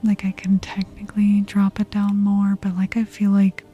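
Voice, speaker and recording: A woman streaming ASMR, chatting casually in a soft, breathy, often whispered voice with a relaxed, intimate manner, close on the mic. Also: medium pitch, muffled recording. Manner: relaxed, slow, intimate murmur. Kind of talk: ASMR, casual chat with live viewers. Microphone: close ASMR microphone. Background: music.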